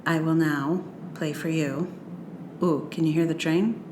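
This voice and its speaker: A woman's voice: low voice, steady tone, measured pace, noisy background. A woman hosting a solo podcast in a casual, rambling way, often interrupting herself with asides. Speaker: podcast host. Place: indoors, a room near a train line. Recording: roomy, echoey home room.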